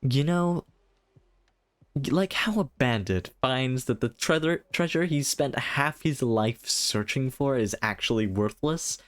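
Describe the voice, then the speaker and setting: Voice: mid-range voice, animated, takes it slowly, dry recording. A streamer reading a hesitant, nervous guardian. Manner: hesitant, apologetic. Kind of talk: game dialogue read aloud.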